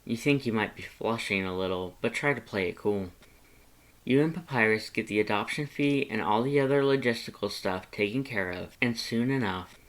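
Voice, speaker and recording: Casting: story narrator for second-person fan fiction audiobooks, medium pitch. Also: normal speed.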